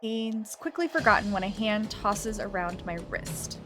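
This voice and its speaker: A woman reading a visual novel aloud as a game streamer, with expressive, theatrical delivery. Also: medium pitch, measured pace, over music. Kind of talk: dramatic narration of a visual novel with casual asides.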